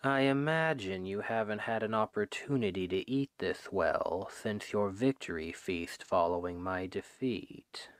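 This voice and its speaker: A man playing a suave, menacing, possessive demon king who woos his captive with slow, deliberate, softly condescending speech in an ASMR roleplay, close on the microphone. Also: lowish voice, clean tone, no echo, close to an everyday voice. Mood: calm, possessive. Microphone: close microphone, dry.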